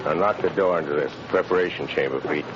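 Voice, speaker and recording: A man playing a stern prison guard who gives brisk, businesslike orders. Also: a bass voice, muffled recording. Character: stern male prison guard, matter-of-fact. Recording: scratchy, hissy 1930s radio broadcast.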